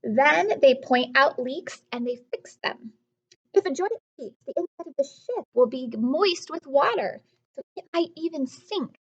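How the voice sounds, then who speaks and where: A woman's voice: high-pitched, lively intonation, unhurried, muffled recording. A woman teacher reading aloud to young children, explaining as she goes, asking questions and repeating key words in an instructive way.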